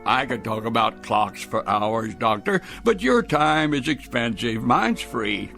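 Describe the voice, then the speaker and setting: An actor playing a crafty, stubborn old retired clockmaker in a radio drama, wry and evasive. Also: male voice, medium pitch, big pitch swings, measured pace, creaky, pushed and bright, heavily acted. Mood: wry, sparring. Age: older. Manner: wry, evasive, turns questions back on the asker. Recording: music under the dialogue.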